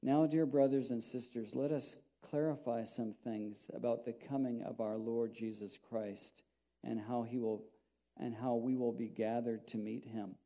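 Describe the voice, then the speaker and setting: A man's voice: mid-range voice, fairly even intonation, measured pace, dark tone, low-quality recording. A middle-aged man, a pastor, preaching a sermon in a small church and reading scripture aloud in a conversational, rambling way with frequent restarts. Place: small church sanctuary, indoors.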